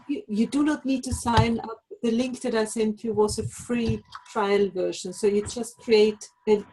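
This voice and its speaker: A woman's voice: mid-range voice, noisy background. A woman presenting a live online lesson for language teachers, explaining tools and giving practical instructions.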